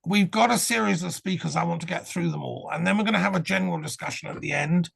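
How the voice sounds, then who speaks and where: A man runs an online panel over a video call, politely steering speakers along. His voice is somewhat high, very gravelly and husky. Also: fairly bright tone, dry recording. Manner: polite, brisk, interrupts to keep order. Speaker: moderator.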